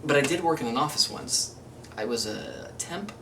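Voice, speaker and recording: A man playing an exasperated, rambling struggling actor in a theatrical monologue, emphatic and self-interrupting, wry and excitable. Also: medium pitch, moderate pace, lightly acted. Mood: amused exasperation. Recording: distant mic, faint room noise.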